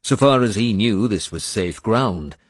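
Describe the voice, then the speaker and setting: A man narrating first-person historical fiction as a scripted audiobook, with measured, dramatic storytelling. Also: on the low side, lively intonation, dry recording, clean studio sound.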